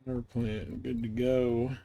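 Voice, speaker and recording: A man's voice: medium pitch, level tone, a little slow, dark tone, highs cut off. A man livestreaming a video game, thinking aloud in casual commentary and chatting with viewers. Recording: voice over clear background noise.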